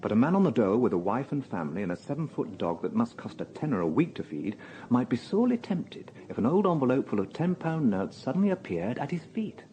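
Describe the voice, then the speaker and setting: An actor playing a shrewd, easygoing British police detective in a radio drama, with a husky voice and a dry, probing, conversational manner. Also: male voice, mid-range voice, big pitch swings, fast talker, dark tone, a little hiss or hum, natural voice, rough recording. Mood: wry, brisk. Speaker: actor and narrator. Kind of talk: naturalistic radio drama with first-person narration.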